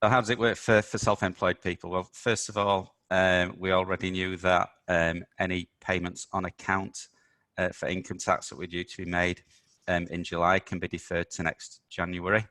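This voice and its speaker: A man hosting a business webinar, a little croaky from talking all week, explaining with many ums and uhs. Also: low-pitched, flat delivery, moderate pace, bright, forceful tone, no echo. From United Kingdom. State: slightly croaky voice. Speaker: webinar host, accountant.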